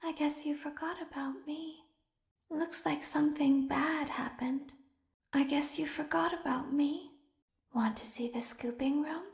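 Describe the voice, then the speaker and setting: A woman playing an eerie, seductive and menacing animatronic in a video game, in a breathy whisper. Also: high-pitched, steady tone, unhurried, darkish tone, reverberant, big-room sound. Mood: sinister whisper.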